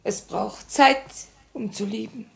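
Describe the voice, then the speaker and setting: Woman revolutionary, 1950s radio drama: slow-paced, creaky, grave, sorrowful, tender but resolute. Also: a little high, full character voice. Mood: grave, restrained. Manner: measured, solemn delivery.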